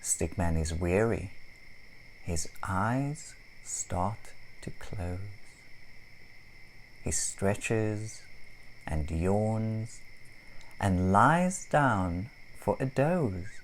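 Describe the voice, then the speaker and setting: Very slow, deep-voiced woman storyteller. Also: lively intonation, slight background noise, low-quality recording. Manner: deliberate, dramatic phrasing with pauses. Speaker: storybook narrator. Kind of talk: expressive rhyming read-aloud for young children.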